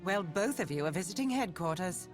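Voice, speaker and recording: A woman's voice: fairly low pitch, expressive, a little fast, over loud music, natural voice. Woman acting composed British lady sheriff, lilting delivery. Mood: wry. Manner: crisp, composed, wry. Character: calm, refined young female police sheriff. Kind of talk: video game character voice lines.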